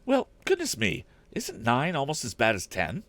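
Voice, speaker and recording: A man's voice: mid-range voice, huge pitch swings, normal speed, a bit bright, voice pushed up. A man voicing a flustered, motherly older housekeeper who frets and complains in a fussy, dramatic way for a storybook reading. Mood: fretful, flustered.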